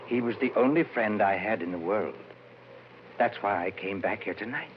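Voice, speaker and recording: An actor playing an eerie, sorrowful dead madman poet with a slow, portentous, haunting delivery in old-time radio horror style. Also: lowish voice, close to an everyday voice. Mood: solemn, sorrowful. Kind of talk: 1940s radio horror acting. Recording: muffled, hissy old radio recording.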